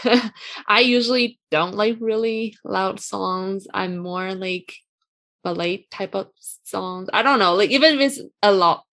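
Quiet, composed young woman. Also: medium pitch, a little slow. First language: not English. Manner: quiet, composed, hesitant with fillers. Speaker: co-host. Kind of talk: casual podcast chat.

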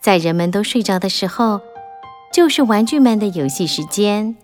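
A woman narrating a children's audiobook in a gentle, expressive storytelling manner. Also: mid-range voice, moderate pace, faint music behind.

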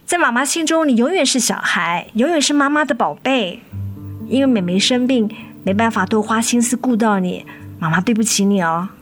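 A woman acting a gentle, weary, loving middle-aged mother in a radio drama, soothing and affectionate. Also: on the high side, animated, normal speed, lightly acted. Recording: music under the voice.

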